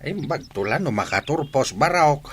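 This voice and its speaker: A man's voice: fairly high pitch, lively intonation, normal speed, fairly bright tone, a little hiss or hum. A man with a Filipino accent playing a plain-spoken old village father in an Ilocano comedy radio drama. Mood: fatherly. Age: older.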